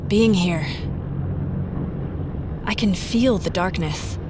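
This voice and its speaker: A woman's voice: lowish voice, takes it slowly, background noise. An actress playing a determined woman detective, clipped and direct, who also narrates the story. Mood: brooding. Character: tough woman detective hunting a killer underground. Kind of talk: audio drama acting with narration. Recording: loud music behind.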